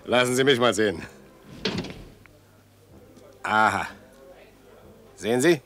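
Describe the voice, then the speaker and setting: A man's voice: medium pitch, slow pace, a bit bright. An actor playing a matter-of-fact male village garage mechanic in a radio drama, laconic and offhand. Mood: offhand, practical. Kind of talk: German radio drama acting. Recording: radio drama with background noise.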